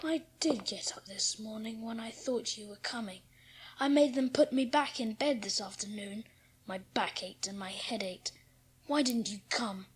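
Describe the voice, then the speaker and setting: Woman voicing a sickly spoiled little boy, fairly high voice, whining, imperious, self-pitying, audiobook drama. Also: normal speed, breathy edge. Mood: sulky. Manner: petulant, whining. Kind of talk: dramatised audiobook character acting.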